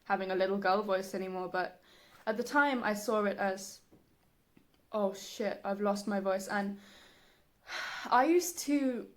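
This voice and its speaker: Slow-paced, soft breathy young woman. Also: medium pitch. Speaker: singer, video creator. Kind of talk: reflective personal vlog monologue.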